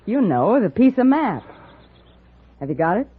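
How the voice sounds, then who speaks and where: Young frontier sweetheart, hesitant, anxious, very lively intonation, thin vintage radio sound. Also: female voice, medium pitch, measured pace, slightly rough, exaggerated voice. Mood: anxious, pleading. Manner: earnest, faltering. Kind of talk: 1950s radio western acting. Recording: tinny old radio recording.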